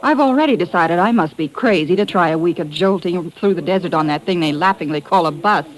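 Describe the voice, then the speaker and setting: A woman's voice: lowish voice, a little fast, close to an everyday voice, rough recording. Actress as wry young American woman. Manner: dry, wisecracking. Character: wry, self-possessed young American blonde magazine writer. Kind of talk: 1950s radio acting.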